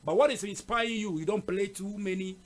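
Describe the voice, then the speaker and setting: A man's voice: high voice, brisk. A man hosting a casual interview, chatty, with frequent fillers and repeated words. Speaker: host.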